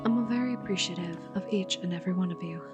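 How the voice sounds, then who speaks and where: A woman speaking softly as a channel host, reading scripted thanks to supporters, with guitar music behind her. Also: lowish voice, quick pace, muffled recording.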